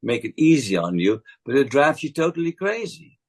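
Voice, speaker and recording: A man's voice: medium pitch, big pitch swings, moderate pace. An older man from the Netherlands with a Dutch accent, an acting coach talking casually in an interview, hesitant with many fillers and restarts.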